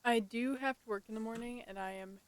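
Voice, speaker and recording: A woman's voice: on the high side, brisk, clean tone, rough recording. A tired woman chatting casually as she live-streams a game on a headset mic.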